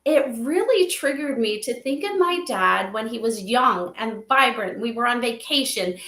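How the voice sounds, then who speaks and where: A woman's voice: fairly high pitch, expressive, moderate pace, fairly bright tone. A middle-aged woman talking earnestly and at length in a conversational interview, sharing personal anecdotes. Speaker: guest, spirit advisor for an AI memorial company. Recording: roomy, echoey livestream sound.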